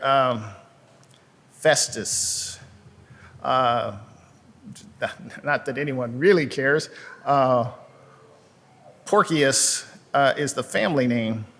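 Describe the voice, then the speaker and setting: A male pastor preaching a sermon, reading scripture and adding relaxed, conversational asides with frequent fillers, recorded in a church sanctuary. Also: mid-range voice, in a large hall.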